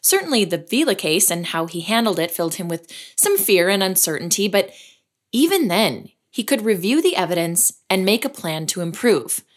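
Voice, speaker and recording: A woman hosting and narrating an audio drama in a measured storytelling manner. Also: mid-range voice, sing-song. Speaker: narrator and host. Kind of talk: scripted narration.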